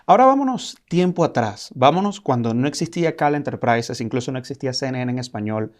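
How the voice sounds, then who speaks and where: A man's voice: medium pitch, expressive, fast. A man from Venezuela hosting an interview, asking questions and giving short affirmations. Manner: brief prompts and affirmations.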